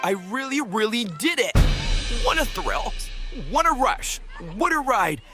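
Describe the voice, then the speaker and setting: Woman voicing an anxious, animated little cartoon bean. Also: a little high, a little slow, over music, pitched above the performer's own voice. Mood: thrilled. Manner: theatrical, hesitant, exaggerated. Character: anxious, eager young cartoon bean working up courage. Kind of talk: animated children's read-aloud.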